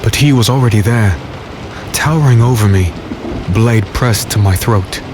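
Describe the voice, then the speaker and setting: Man acting a low-voiced warrior narrator, stormy backdrop. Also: normal speed, noisy background, close to an everyday voice. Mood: tense. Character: grave male fantasy warrior recounting a battle. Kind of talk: scripted first-person dramatic narration. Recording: loud storm, rain and thunder behind.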